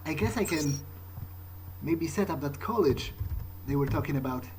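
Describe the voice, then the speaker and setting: A man's voice: fairly high pitch, expressive, darkish tone, slight background noise, close to an everyday voice. A man playing a newly turned vampire, thinking aloud in a hesitant, pragmatic, wary way as he role-plays a video game. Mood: curious musing. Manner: hesitant, halting musing. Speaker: gamer role-playing his character. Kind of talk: in-character gameplay thinking aloud.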